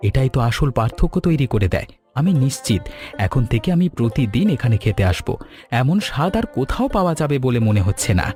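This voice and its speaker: Appreciative, mannerly male customer for a cartoon, man acting, effusive and expressive, light music underneath. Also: medium pitch, fast talker, lightly acted. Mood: delighted. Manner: courteous, effusive. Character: polite, appreciative male office-worker customer. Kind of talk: cartoon story dialogue.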